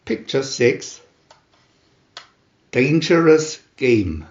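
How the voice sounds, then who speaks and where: A man narrates a comic adventure in a theatrical announcer style. He speaks slowly and with pauses, his pitch swinging widely. The recording is roomy and somewhat dull. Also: very animated. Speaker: narrator reading aloud a picture-reel booklet.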